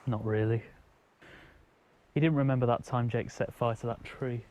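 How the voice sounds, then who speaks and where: An actor playing a withdrawn, defensive young student son in naturalistic film dialogue, terse and evasive. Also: male voice, medium pitch, steady tone, moderate pace, natural voice. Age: young adult. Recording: dull sound, loud music behind. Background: instrumental music.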